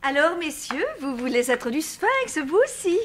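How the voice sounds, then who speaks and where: An actress playing a chatty, naive and effusive bourgeois wife in a French radio mystery. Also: female voice, high-pitched, sing-song, measured pace, a bit bright, a little hiss or hum, heavily acted. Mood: welcoming. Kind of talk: 1950s-style French radio mystery acting.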